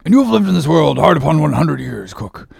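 Bossy, teasing male ship's officer, performed by a man reading a storybook, very lively and husky. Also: a little high, fairly quick, no echo, studio quality, voice pushed up, exaggerated voice. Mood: bossy, needling. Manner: animated, needling, mock-solemn interrogation. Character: bossy, mocking sailor officer lecturing his cook. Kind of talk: audiobook character reading.

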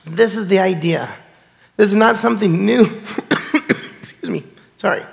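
A man preaching a sermon in a gravelly, nasal voice, hoarse from illness, talking in a chatty way with many fillers. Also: high-pitched, normal speed. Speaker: pastor. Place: church sanctuary. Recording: church hall with long reverb.

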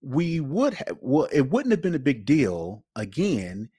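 Man, expressive swooping intonation, casual opinionated podcast commentary, blunt, emphatic, dry sound. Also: medium pitch, measured pace, husky, dry recording. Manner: emphatic, blunt, repeats phrases for effect. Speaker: podcast co-host.

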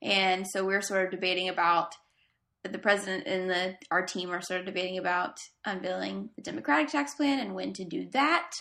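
A woman's voice: medium pitch, brisk, vocal fry, sharp tone. A woman co-hosting a podcast, recapping in casual, rambling chat and laughing easily. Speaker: podcast co-host.

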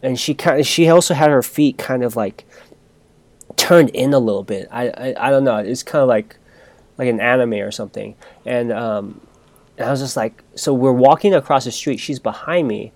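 A man's voice: mid-range voice, moderate pace, darkish tone. A man in the middle register tells a long personal story on a podcast, chatting casually. He talks freely and loosely, often breaking off and starting his sentences over.

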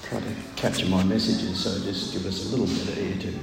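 An older man with a loud voice preaches a sermon in a measured, deliberate manner with frequent pauses. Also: sits low, level tone. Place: large echoey room, speaking to a congregation. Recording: very echoey hall, clear background noise.

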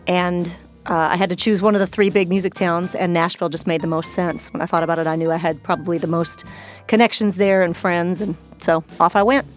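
A woman's voice: lowish voice, steady tone, brisk, faint music behind. A woman from the American Midwest, a musician and bar co-owner, answering interview questions in a chatty, storytelling way. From Midwestern United States.

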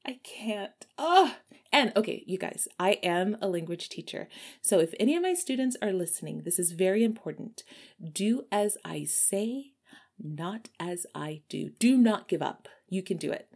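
A woman's voice: normal speed, breathy edge. A woman travel vlogger from Texas, likely in her thirties, answering viewer questions in a casual, chatty way and laughing often. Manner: chatty, laughs often, lively swings in pitch. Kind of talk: casual chat.

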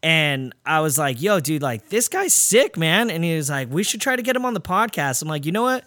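A man hosting a podcast interview, chatting casually in a slangy, excitable, informal bantering way. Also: fairly high pitch, fairly quick, dry recording, clean studio sound. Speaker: podcast host.

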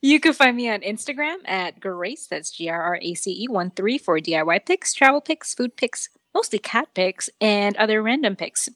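A woman with a medium-pitched voice, co-hosting a podcast in relaxed chat. She puts her points plainly and reasonably, and the recording is close and dry. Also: dry recording. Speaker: podcast co-host. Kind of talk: casual chat.

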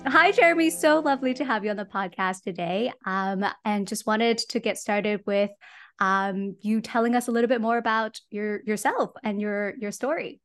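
A woman's voice: medium pitch, normal speed, fairly bright tone, roomy sound, soft background music. A woman hosting a podcast interview, speaking in an upbeat, enthusiastic way. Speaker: podcast host and career coach.

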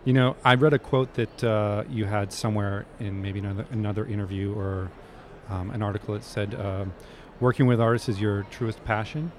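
A man's voice: on the low side, fairly even intonation, normal speed, a little mellow, slight background noise. A man who is an artist, interviewing a guest with loose, rambling questions full of fillers. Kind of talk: casual interview.

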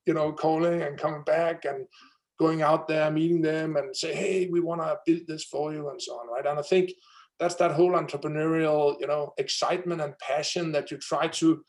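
Energetic man with a Danish accent. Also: on the high side, moderate pace. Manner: energetic, many fillers and restarts. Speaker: company founder, guest. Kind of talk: conversational interview.

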